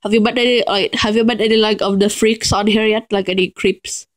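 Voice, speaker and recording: A young man with a high, boyish voice making a giggly prank phone call. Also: steady tone, a little fast. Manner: giggly, mock-casual chatter. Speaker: prank caller.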